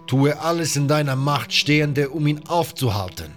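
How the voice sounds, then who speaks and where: A man's voice: on the high side, animated, normal speed, faint music behind, pitched above the performer's own voice, close to an everyday voice. Man acting a grave, commanding water mage. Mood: urgent, commanding. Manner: solemn, commanding. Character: grave, urgent male water mage leader.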